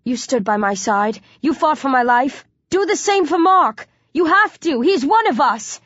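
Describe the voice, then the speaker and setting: A woman's voice: sits high, lively intonation, unhurried, fairly bright tone, no room sound, dull audio, voice pushed up. A woman voicing a desperate, pleading teenage zombie in an audiobook, urgent and impassioned. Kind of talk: audiobook character dialogue.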